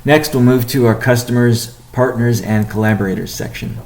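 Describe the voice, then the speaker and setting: A man giving a company update on a conference call as its chief executive, speaking in a measured, halting way with deliberate pauses. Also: medium pitch, a little mellow. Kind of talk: business conference call presentation.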